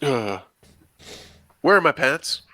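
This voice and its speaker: A man's voice: mid-range voice, big pitch swings, takes it slowly, sharp tone, no echo, exaggerated voice. A man playing a bewildered, nervous teenage boy in a table read, hesitant and stammering. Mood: alarmed, stunned. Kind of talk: amateur table read of a film script.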